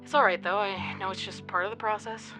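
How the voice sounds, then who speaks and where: Affectionate, wry young intern voiced by a woman, medium pitch, naturalistic radio drama with music clearly underneath. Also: steady tone, fairly quick, lightly acted, low-quality recording. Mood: mildly resigned. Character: affectionate, wryly joking young intern on the phone to a partner.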